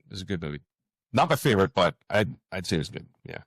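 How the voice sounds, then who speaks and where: A man with a low, deep voice chats as a guest in an interview. He speaks haltingly, pausing and doubling back on his words. The sound is close and dry. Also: very animated, breathy edge, dry recording. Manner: hesitant, halting, with frequent pauses and repeated words. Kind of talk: casual interview conversation.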